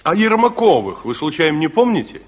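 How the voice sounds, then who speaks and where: Husky, reflective old veteran. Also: male voice, a little high, big pitch swings, a little fast. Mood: courteous, probing. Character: elderly reflective war-veteran grandfather looking back on his life. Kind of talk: theatrical 1960s radio-play monologue and dialogue. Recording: 1960s radio drama recording with music.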